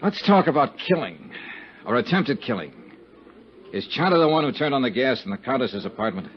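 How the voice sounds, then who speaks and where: An actor playing a tough, wry insurance investigator in a 1950s radio drama, American accent, blunt and sardonic. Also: male voice, medium pitch, sing-song, a little fast, slight background noise, lightly acted. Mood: cool, probing. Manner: hard-boiled, sardonic, blunt. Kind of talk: 1950s radio detective acting and first-person narration. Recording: muffled 1950s radio recording, dramatic music behind.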